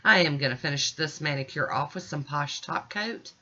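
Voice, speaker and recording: Bright-voiced American woman, casual tutorial voice-over. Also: low voice, moderate pace, highs cut off. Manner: chatty, folksy, informal. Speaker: nail art vlogger.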